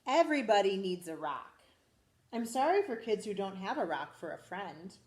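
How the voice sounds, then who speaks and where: A school principal, a woman, reading a picture book aloud to children in a lively, expressive way. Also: medium pitch, normal speed, reverberant. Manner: animated, expressive storytelling to children.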